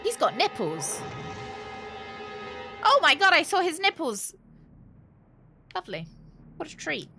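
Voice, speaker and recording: A woman's voice: high-pitched, huge pitch swings, slow, long pauses, over music. A woman doing casual live game commentary, thinking aloud in a playful, cheeky, rambling way and swearing freely. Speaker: game streamer.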